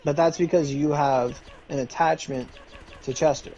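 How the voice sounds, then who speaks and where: A man's voice: a little high, steady tone, moderate pace, a little mellow, lo-fi sound, a little hiss or hum. A man streaming, chatting casually in a rambling way full of fillers and restarts.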